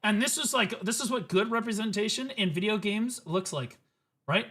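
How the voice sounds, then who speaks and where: A man's voice: sits high, normal speed, sharp tone, husky. A man streaming, reacting to clips with emphatic, profane, rambling commentary. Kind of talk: casual ranting commentary.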